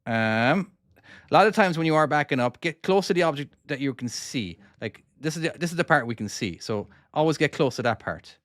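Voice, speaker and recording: A man's voice: a little high, animated, a little fast, a bit bright, dry recording, studio quality. A man from Cork with an Irish accent, casually talking through his own trucking game on a live stream and answering viewers' questions. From Ireland (Cork). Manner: casual, talks himself through each maneuver and answers chat questions. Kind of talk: live gameplay commentary.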